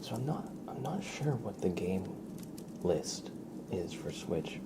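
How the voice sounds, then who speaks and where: A man livestreaming ASMR, chatting softly and playfully and often dropping into whispers. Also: low voice, sing-song, slow, heavy background noise. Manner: playful, mock-serious, doting talk about and to a pet. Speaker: ASMR live streamer.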